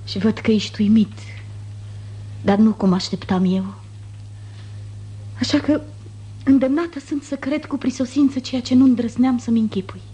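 An actress plays a proud, wounded noblewoman in 1950s radio theatre. Her voice is fairly high and soft-toned, very mellow, and she speaks in formal, declamatory reproach. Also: long pauses, lightly acted. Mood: wounded, bitter.

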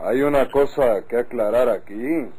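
An outspoken Mexican countryman in a vintage radio serial. His voice is husky and mid-pitched, with a cautioning tone and northern accent. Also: unhurried, warm tone, rough recording. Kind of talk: old-time radio drama acting.

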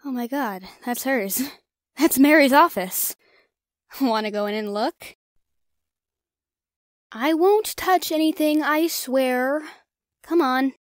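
A woman's voice: high-pitched, takes it slowly, pauses often, dry recording, studio quality. A woman acting a cocky young ghost-hunting vlogger in an audio drama, brash and teasing. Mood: nervous. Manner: brash, teasing, talks herself up.

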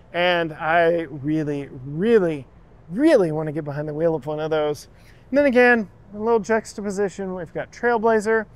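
A man hosting a car channel, walking through an auto show and chatting enthusiastically about the vehicles while cueing his camera operator. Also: high voice. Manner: enthusiastic, chatty, gushes over details. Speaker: car reviewer and channel host.

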